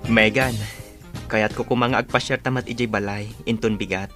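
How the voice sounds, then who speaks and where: A man's voice: fairly low pitch, steady tone, moderate pace, pushed and bright, soft background music. A man playing a devoted young suitor in an audio drama, earnest, reassuring and persuasive. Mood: coaxing. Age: young adult.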